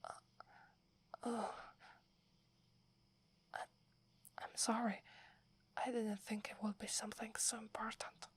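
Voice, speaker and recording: A woman's voice: on the high side, flat delivery, slow, pauses often, heavily acted. A woman with an Italian accent voicing a devoted young female werewolf who defers to her alpha, in a whispered ASMR roleplay. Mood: apologetic. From Italy. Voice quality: breathy whisper. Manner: hesitant, reverent, submissive. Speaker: voice actress.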